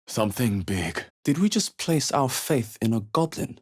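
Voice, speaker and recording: A man playing a wary, thoughtful, dry-humoured adventurer in a fantasy video game, speaking in short measured remarks with a husky voice. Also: medium pitch, very animated. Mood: musing.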